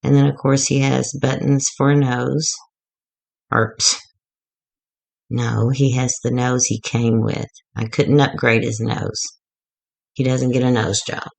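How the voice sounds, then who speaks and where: A woman going hoarse as she gives a rambling, chatty, self-deprecating walkthrough of a craft project. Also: rumbling low, little pitch movement, measured pace, long pauses, darkish tone. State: getting hoarse. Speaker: craft tutorial maker.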